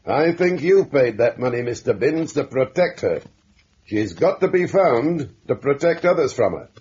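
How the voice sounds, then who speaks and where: On a dull old radio recording, a police sergeant with a husky, unclear edge. He is composed but suspicious. He presses with measured, insinuating questions in classic radio-drama style. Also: mid-range voice, big pitch swings, darkish tone. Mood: suspicious, probing.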